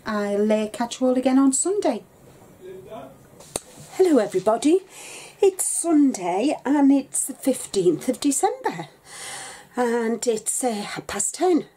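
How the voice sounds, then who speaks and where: A woman's voice: lowish voice, dark tone, a little hiss or hum. Chatty, rambling woman. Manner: chatty, rambling, lots of ums. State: bunged up with a cold, coughing. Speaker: craft vlogger. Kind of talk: casual chat to camera. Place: craft room at home, indoors. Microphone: webcam at desk.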